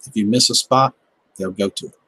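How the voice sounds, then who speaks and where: A low, husky-voiced man in his fifties with a Southern American drawl, talking with viewers on a livestream. He is laid-back and joking, with folksy country phrasing. The sound is dry and close. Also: brisk, sharp tone, no room sound. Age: 50s. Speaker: livestream host, gardening channel. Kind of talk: casual chat reading viewer comments.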